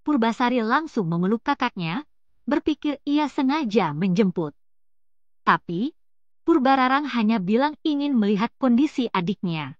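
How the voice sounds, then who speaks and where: High-voiced woman telling a folktale. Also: dry recording, muffled recording. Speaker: storyteller, narrator. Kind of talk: scripted folktale narration.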